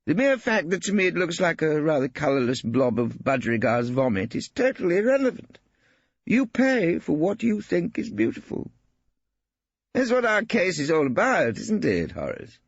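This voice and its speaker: A man voicing a hearty, boisterous old male artist who talks in a booming, theatrical way, far higher than his own voice. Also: big pitch swings, normal speed, gravelly, no echo, dull audio, full character voice.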